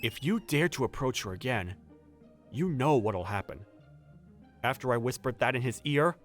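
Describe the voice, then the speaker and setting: A man's voice: medium pitch, lively intonation, moderate pace, faint music behind, natural voice. An actor playing an earnest, protective young male manager who narrates a romcom manga dub in first person. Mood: firm, protective. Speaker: narrator and lead character.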